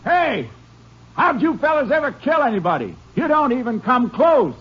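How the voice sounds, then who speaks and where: An actor playing a commanding, taunting Texas Ranger calling out loudly in a 1950s radio western. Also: male voice, very high-pitched, sing-song, moderate pace. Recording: muffled, echoey, noisy old radio recording.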